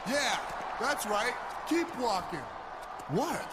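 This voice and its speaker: A hot-tempered wrestler in a game cutscene, jealous and taunting. His fairly high voice moves around a lot in pitch, over loud noise. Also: big pitch swings, takes it slowly, heavily acted. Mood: jealous, confrontational. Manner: confrontational, taunting. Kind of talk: video game cutscene acting.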